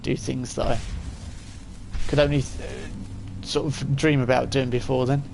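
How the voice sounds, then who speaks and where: A man's voice: medium pitch, level tone, measured pace, gravelly, a little mellow, a little hiss or hum, low-quality recording. A man in his forties giving casual live-stream commentary as he plays, chatting and thinking aloud. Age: 40s.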